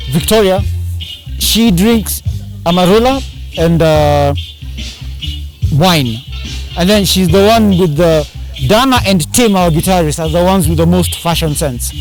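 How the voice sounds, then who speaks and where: A man's voice: sits high, a little slow, pauses often, soft background music, low-quality recording. A man chatting casually in an interview, rambling and slipping into Swahili slang. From Kenya. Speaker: band singer and drummer.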